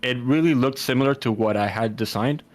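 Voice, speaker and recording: Male podcast guest, mid-pitched, blunt and profane storyteller, voice-call sound. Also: brisk. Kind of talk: casual podcast chat. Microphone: Discord call.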